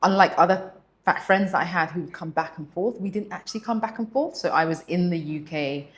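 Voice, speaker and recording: Reflective woman, lowish voice. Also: a little fast. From born in Nigeria, raised in South London. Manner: reflective, frequent ums and soft laughs. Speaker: guest, school founder. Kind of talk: casual interview conversation.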